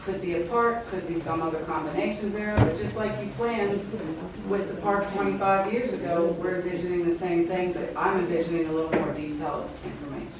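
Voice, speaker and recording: A woman official answers the public in a measured, diplomatic tone, her voice sitting a bit low. She is caught from far off in a very echoey hall. Clear room noise runs beneath her. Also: steady tone. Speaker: city planning official.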